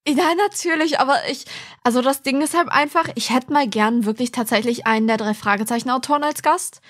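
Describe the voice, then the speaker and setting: A woman's voice: sits high, a little fast. A woman co-hosting a podcast, talking in a casual, informal style. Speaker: podcast co-host.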